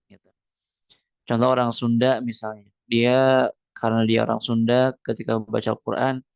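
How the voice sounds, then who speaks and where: A man's voice: mid-range voice, gravelly, dry recording. A man teaching a Quran recitation class online, reading Arabic lines from a book and then explaining them, with many fillers and rhetorical questions.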